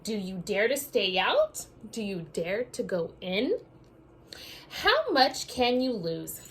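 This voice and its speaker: A woman with a high voice reads a children's story aloud like a schoolteacher. Her delivery is unhurried and sing-song, swinging widely in pitch as she addresses young listeners. There is some steady noise on the recording. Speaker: schoolteacher reading a picture book aloud to pupils. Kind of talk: animated children's read-aloud, speaking directly to young listeners.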